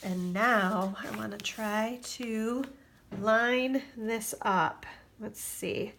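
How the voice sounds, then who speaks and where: A woman's voice: a little high, drawn-out words, fairly bright tone, a little hiss or hum. A woman giving a chatty craft tutorial at her worktable, sounding stuffy and nasal from allergies. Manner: chatty, with fillers and asides. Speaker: craft demonstrator. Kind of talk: casual tutorial.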